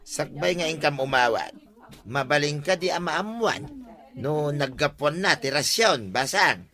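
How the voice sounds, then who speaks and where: A man's voice: a little high, animated, measured pace, bright, forceful tone, heavily acted. A man playing an inquisitive, exclaiming village uncle in an Ilocano comedy audio drama. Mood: amazed.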